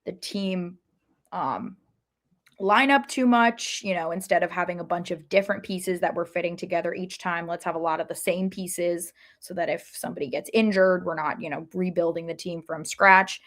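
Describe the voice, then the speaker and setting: A woman's voice: on the low side, fairly even intonation, normal speed, fairly bright tone. A woman co-hosting a soccer podcast in a casual sports chat, hedging and thinking aloud as she goes.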